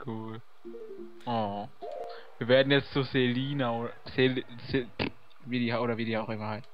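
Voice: mid-range voice, steady tone, normal speed, dull audio. A young co-commentator bantering casually over a game, goofy and teasing, often sing-song. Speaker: guest co-commentator. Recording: game music behind the voice. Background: video game music.

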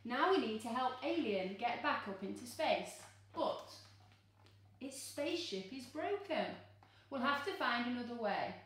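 A woman in her thirties reading a picture book aloud to children in an expressive, exclaiming, coaxing teacher's manner. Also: fairly high pitch, measured pace. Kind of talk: animated children's storybook read-aloud. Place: living room, seated on a sofa. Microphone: camera a few metres away. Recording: echoey room, distant microphone.

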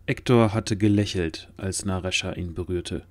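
A man's voice: low voice, moderate pace. A man reading scripted narration for a fantasy audio drama. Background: faint music.

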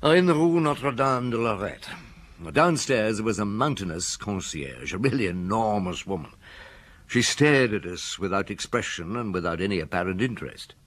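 An actor playing a gruff, weary but patient senior police detective in a radio drama, British and terse. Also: male voice, on the low side, animated, moderate pace, a bit bright. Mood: reflective. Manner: terse, probing, matter-of-fact.

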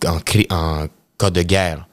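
A man in his thirties with a Quebec French accent, a podcast guest telling his story in an animated way, with many restarts and English words mixed in. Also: low voice, slow, no room sound. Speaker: podcast guest, professional hockey player. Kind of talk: casual storytelling interview. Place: indoor podcast studio with bookshelves. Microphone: studio podcast mic on boom arm.

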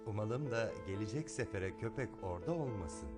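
A man as a lively, teasing cartoon dad. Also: on the low side, normal speed, natural voice. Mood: affectionate. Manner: joking, affectionate. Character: good-humoured, teasing father and husband. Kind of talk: dubbed children's cartoon acting. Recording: loud music under the voice.

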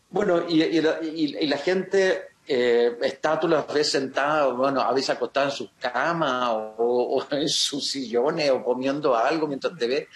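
A man in his sixties, talking casually in an interview over a video call, halting and often restarting his sentences. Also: medium pitch. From Chile. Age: 60s. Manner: halting, many restarts and fillers. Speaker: actor, interview guest. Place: home room, video call. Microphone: laptop camera mic on video call. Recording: roomy video call audio.

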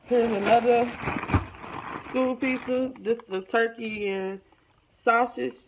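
A woman's voice: a little high, fairly even intonation, slow. An American woman chatting casually to camera in a folksy, informal way, as a vlogger at home. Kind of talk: casual chat to camera, showing purchases. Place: room at home, indoors. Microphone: phone or camera at arm's length. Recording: noisy handheld home recording, clicks.

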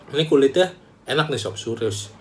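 A man's voice: mid-range voice, normal speed. Chatty young man reviewing food. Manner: chatty, animated, calls himself by name. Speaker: food vlogger. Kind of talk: casual food review to camera. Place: small room indoors, plain wall.

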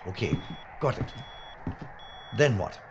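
A man's voice: mid-range voice, expressive, slow pace. A man playing a nervous, sarcastic filmmaker sidekick in a horror adventure drama, dry and whiny. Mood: hesitant. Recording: dull audio with loud background noise.

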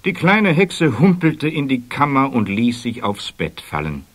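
A man's voice: mid-range voice, wildly swooping pitch, a little slow. A man narrating a scripted children's story as the storyteller of an audio play.

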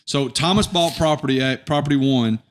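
Male podcast host, mid-pitched. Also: brisk, slight background noise. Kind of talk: casual interview, recapping and asking questions. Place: indoor podcast studio at a round table. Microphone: boom-mounted podcast microphone up close.

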